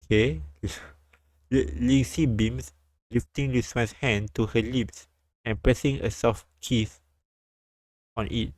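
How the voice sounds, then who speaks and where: A man streaming a live read-aloud of a visual novel, halting and repeating lines as he goes. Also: mid-range voice, fairly even intonation, darkish tone, no echo.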